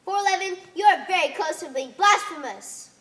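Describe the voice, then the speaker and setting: A woman's voice: very high voice, expressive, brisk, fairly bright tone. A woman playing a pompous, dogmatic alien holy leader in an amateur stage play, making solemn, commanding pronouncements. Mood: stern, preaching. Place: theater stage.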